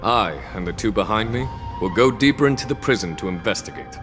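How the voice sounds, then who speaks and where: An actor playing a composed, authoritative general in a game cutscene, speaking in a measured way. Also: male voice, on the low side, lively intonation, long reverb tail, over music, lightly acted. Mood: calm. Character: calm, composed male general in his prime.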